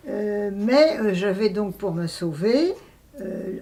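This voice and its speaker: A woman answers in a reflective interview. She speaks slowly and hesitantly, searching for her words with frequent pauses. Her pitch sits in a middle range for a woman. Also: animated, mellow. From France. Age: 80s. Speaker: interviewee, writer and translator.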